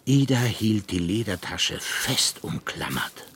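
A man's voice: fairly low pitch, very animated, slow, fairly bright tone, a little hiss or hum. A man narrating a scripted children's radio drama like a storybook.

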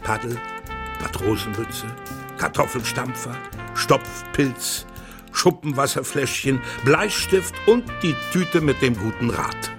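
A man's voice: mid-range voice, lively intonation, slow, vocal fry, music in the background. A man narrating a children's radio play as its storyteller, reading scripted prose. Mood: descriptive.

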